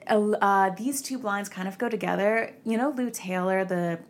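A woman's voice: mid-range voice, moderate pace. A young woman hosting a podcast, chatting casually and gossiping, with lots of "like" fillers. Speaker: podcast host.

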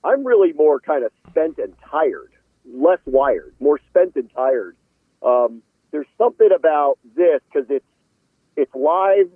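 A man's voice: a little high, very animated, slow pace, no echo. A man speaking as a guest sports announcer in a casual radio interview, over a thin phone-like line.

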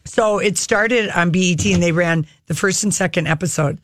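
A woman's voice: on the low side, normal speed, dry recording, clean studio sound. An enthusiastic woman hosting a casual talk show, chatting about television.

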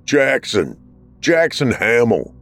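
A man voicing a worn-out, desperate handyman who pleads and explains, pitched well above his own voice. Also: huge pitch swings, slow pace, vocal fry, a bit bright, faint music behind, exaggerated voice. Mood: frustrated. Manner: weary, pleading.

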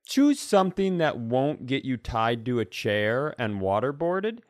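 Guy hosting a comedy podcast, very animated pitch, sarcastic, casual, dry room sound. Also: unhurried, dry recording. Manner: sarcastic, acts out quoted characters.

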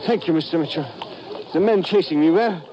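Anxious high-voiced sidekick played by a man. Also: fairly quick, crowd noise, natural voice. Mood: shaken, urgent. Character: anxious, polite male local contact of the agent. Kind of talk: 1950s radio drama acting. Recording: noisy vintage radio recording.

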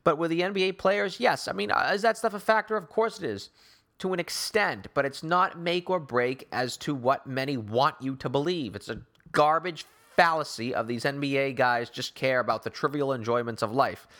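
A man's voice: mid-range voice, normal speed, a bit bright. Man giving emphatic, opinionated sports talk. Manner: emphatic, rambling, sarcastic asides. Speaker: sports talk show host. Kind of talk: opinionated basketball talk commentary.

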